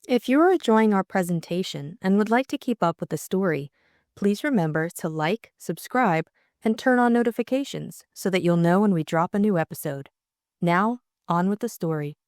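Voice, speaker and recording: A woman's voice: mid-range voice, animated, normal speed, a little mellow, no room sound, studio quality. A woman narrating a scripted story as an audiobook co-host.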